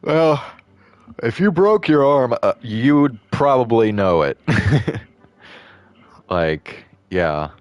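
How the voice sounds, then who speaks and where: A man's voice: medium pitch, animated, takes it slowly, long pauses, no room sound. A man streaming a game and chatting casually, laid-back and slangy, chuckling often, on a desk mic. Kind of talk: casual live-stream reacting. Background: game music.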